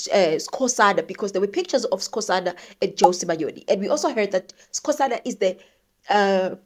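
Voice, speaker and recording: A South African woman giving opinionated, conversational news commentary, thinking aloud and posing rhetorical questions. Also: fairly high pitch. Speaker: commentator, show host.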